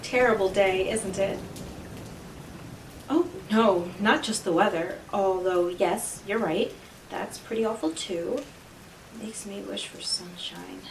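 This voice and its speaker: Woman doing a mysterious devil lady for an audio roleplay, unhurried and coy, teasing, condescending, roomy echo. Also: medium pitch, creaky, a little hiss or hum. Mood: coyly friendly. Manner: coy, deliberate, theatrical, talking directly to the listener. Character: enigmatic devilish female stranger offering deals, smooth, teasing, condescending. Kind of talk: scripted roleplay audio drama monologue.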